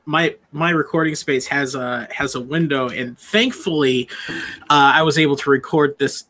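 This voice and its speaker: A man chatting casually as a voice actor guest, halting but animated as he tells a story. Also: on the high side. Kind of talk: casual chat.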